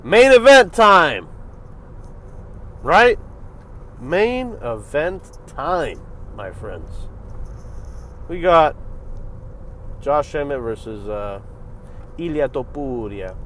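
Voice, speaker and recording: A man hosting a reaction video, giving casual, profane commentary on a fight, jumping from loud bursts to low mutters. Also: sing-song, drawn-out words, pauses often, a little hiss or hum.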